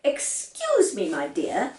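A woman's voice: mid-range voice, huge pitch swings, slow pace, dark tone, roomy sound, recorded from a distance, slight background noise. A woman voicing a small, determined and scornful little girl in a storybook reading, emphatic and matter-of-fact.

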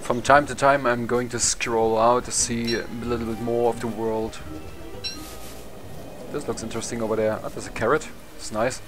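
Man, German accent, young adult, casual game commentary, middle-pitched voice, game music behind. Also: little pitch movement, moderate pace. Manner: rambling, swears, laughs at himself. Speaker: gameplay commentator. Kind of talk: casual let's play commentary.

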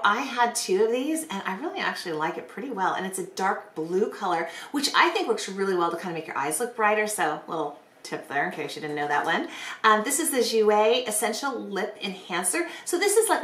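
Casting: middle-aged female beauty vlogger, bright but a bit hoarse, casual chatty delivery, roomy indoor sound. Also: mid-range voice. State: hoarse from bronchitis. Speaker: beauty vlogger hosting a giveaway. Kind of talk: casual chatty product presentation to camera. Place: small bedroom, indoors. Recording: roomy, echoey bedroom sound.

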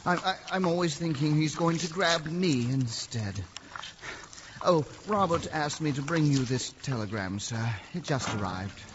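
An actor playing a timid, hesitant manservant in a radio drama, deferential and stammering. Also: male voice, fairly high pitch, animated, a little slow. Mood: nervous. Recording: dull, noisy radio-play recording.

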